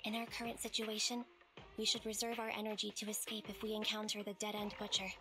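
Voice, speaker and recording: An actress as a stoic young female soldier in an anime game. She is calm, literal and deadpan, with clear music behind her. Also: mid-range voice, fast talker, close to an everyday voice. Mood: matter-of-fact.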